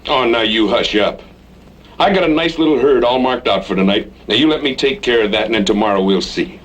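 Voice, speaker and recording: An actor playing a joking, evasive outlaw cowboy with a folksy, sardonic manner, in an old radio western. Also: male voice, mid-range voice, fairly quick, a bit bright, close to an everyday voice. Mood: easygoing. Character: cocky, joking outlaw cattle rustler, cowboy drawl. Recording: noisy old radio drama recording.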